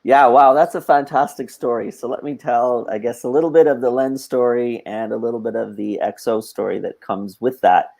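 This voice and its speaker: A man's voice: medium pitch, moderate pace, gravelly, hardly any pauses, a little mellow. A man with a Canadian accent, a guest on an interview, telling his story in a casual, conversational way. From Toronto, Canada.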